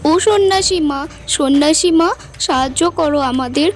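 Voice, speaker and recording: Woman as a very high-voiced, earnest young girl. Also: normal speed, faint music behind, close to an everyday voice. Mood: pleading. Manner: earnest, imploring, protective. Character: brave, pleading elder sister, a young village girl. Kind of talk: Bengali fairy-tale cartoon dialogue.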